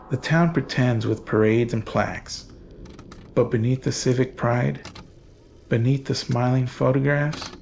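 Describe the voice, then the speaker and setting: A man hosting a horror story series, narrating a script in a theatrical, ominous, deliberate manner as a sinister keeper of shadows. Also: medium pitch, vocal fry, a little mellow, lo-fi sound, a little hiss or hum. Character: sinister keeper of shadows addressing his followers.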